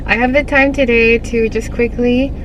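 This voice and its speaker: A woman's voice: fairly high pitch, steady tone, normal speed. A woman from the Philippines with a Filipino accent, talking casually and candidly in a vlog, often laughing and restarting her sentences. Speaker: vlogger. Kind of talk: casual talk to camera. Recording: outdoor recording with clear traffic noise.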